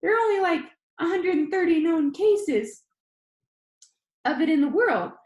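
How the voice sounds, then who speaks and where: A woman voicing a cheerful, cocky sixteen-year-old boy video host, with a high squeaky voice and quick, playful, heavily inflected delivery. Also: expressive, a little mellow, echoey room. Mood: upbeat, playful. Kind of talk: online video host patter within audiobook.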